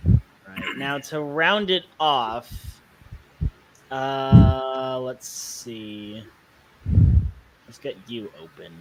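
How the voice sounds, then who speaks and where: A man's voice: medium pitch, very slow, long pauses. A man who streams and chats casually with friends over a voice chat microphone. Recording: noisy voice chat mic, clicks.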